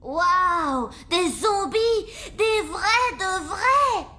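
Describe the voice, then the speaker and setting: Cartoon schoolkid: very high, bright voice, eager and expressive, faint music underneath. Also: female voice, very slow, full character voice. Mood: excited. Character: lively, excitable schoolchild. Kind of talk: French animated cartoon dub.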